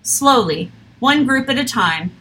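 A woman reading a history text aloud in a steady, measured way, with an occasional slip. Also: on the high side, low-quality recording.

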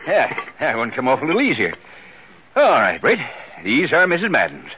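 An actor playing a genial, flustered, folksy county fair official in a 1950s radio western, stammering and fussing. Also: male voice, medium pitch, wildly swooping pitch, normal speed, pushed and bright, husky, exaggerated voice. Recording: dull 1950s radio broadcast.

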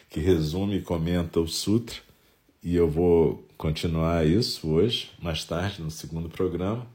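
A Brazilian man with a nasal, stuffy voice from a head cold, calmly leading a Zen meditation talk. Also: sits low. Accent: Brazilian Portuguese.

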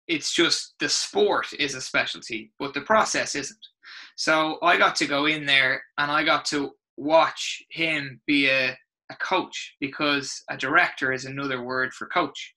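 A middle-aged man with an Irish accent, a rugby coach telling stories in an interview, expressive and full of restarts. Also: a little high, poor audio. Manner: animated, expressive storyteller with many restarts. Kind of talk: interview storytelling.

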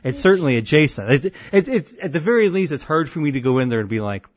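A deep-voiced man co-hosting a podcast, telling a rambling story full of restarts and quoted voices. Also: sing-song, quick pace, dry recording. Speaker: podcast co-host. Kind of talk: casual podcast banter.

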